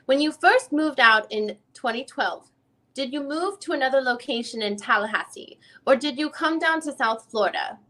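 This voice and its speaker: A woman reading the part of a female lawyer who questions a witness in a deposition, in halting, rephrased questions. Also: high voice, expressive, pushed and bright. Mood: probing. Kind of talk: dramatic reading of a legal deposition transcript.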